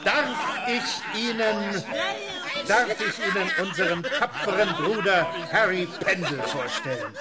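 A jovial, mock-grand club brother in a radio play. He declaims very slowly in a fairly high voice, surrounded by a loud crowd. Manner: declamatory, drawn-out. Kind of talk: German radio play acting. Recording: noisy crowd and loud music.

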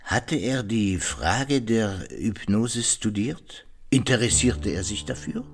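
A husky older male detective in a radio crime drama. He is shrewd and courteous, probing with questions in a lively, swooping intonation. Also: lowish voice, slow, exaggerated voice.

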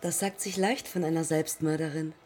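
Low-voiced, clipped woman aviator played by an actress. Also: fairly even intonation, moderate pace, darkish tone, faint music behind, close to an everyday voice. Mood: probing. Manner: clipped, terse confessional fragments. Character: heroic, self-doubting young woman aviator who took her own life. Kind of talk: poetic German radio play acting.

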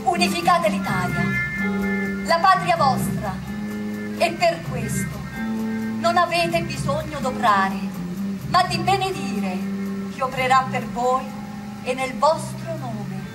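A high-voiced woman declaims like a solemn patriotic visionary. The style is theatrical and oratorical. Loud music runs behind her. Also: animated, takes it slowly, long pauses, rough recording. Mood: solemn, exhortative. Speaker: actress and narrator. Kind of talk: theatrical reading of a historical letter.

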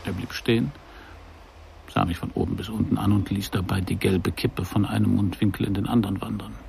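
An actor playing a wry, world-weary private detective in a noir radio play, with a dry, sardonic delivery. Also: male voice, on the low side, steady tone, fairly quick, gravelly, a little mellow, a little hiss or hum. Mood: dry, observant. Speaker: narrator and lead of a crime radio play. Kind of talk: first-person noir radio drama narration.

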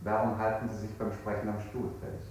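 An actor as a stern low-voiced interrogator. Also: male voice, flat delivery, brisk, a little mellow, lightly acted. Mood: impatient. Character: stern, impatient male interrogator. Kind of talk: stylised 1960s German radio play acting. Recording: echoey old radio recording, faint noise.

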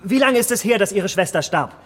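An actor playing a youthful, haughty gentleman with a husky voice and an imperious, agitated manner in a radio play. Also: high voice, lively intonation, a little fast. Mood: urgent. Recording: long reverberant echo.

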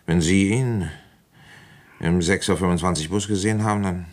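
A man playing a calm, probing village police commissioner in a German radio crime drama, speaking in a dry, matter-of-fact way. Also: sits low, normal speed, close to an everyday voice. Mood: grave, probing.

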